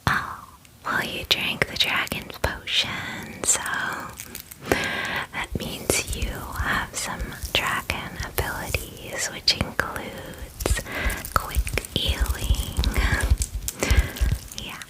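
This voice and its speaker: A woman voicing a friendly, doting female dragon in an ASMR roleplay, whispering softly with gushing, casual, reassuring phrasing. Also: very slow, poor audio. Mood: soothing, attentive. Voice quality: soft breathy whisper. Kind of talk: ASMR fantasy roleplay, personal attention.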